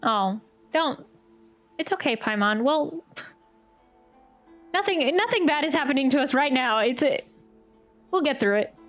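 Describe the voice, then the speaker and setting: A woman's voice: high-pitched, expressive, a little slow, pauses often. A woman giving casual reaction commentary as she plays a game, hesitantly thinking aloud. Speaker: streamer reacting to a game playthrough. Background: soft game music.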